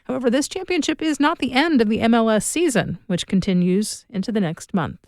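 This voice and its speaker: A woman's voice: fairly high pitch, fairly quick, darkish tone, no room sound. A woman reading scripted news as the host of a podcast.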